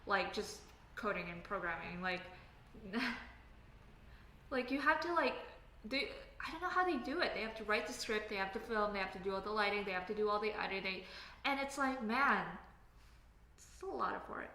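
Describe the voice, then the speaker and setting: A woman's voice: mid-range voice, lively intonation, normal speed, sharp tone, slight background noise. A woman from Canada with a Canadian accent, chatting casually on a livestream, full of filler words and tangents. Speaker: livestream host, software engineer. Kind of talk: casual livestream chat reading comments. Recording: echoey room, faint background ambience.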